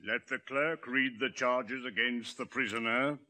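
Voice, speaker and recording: Stern, bright-edged Grand Inquisitor. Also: male voice, mid-range voice, fairly even intonation, unhurried. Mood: commanding. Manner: solemn, authoritative. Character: stern, measured male Grand Inquisitor priest. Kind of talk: 1950s radio drama acting. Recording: vintage 1950s radio recording.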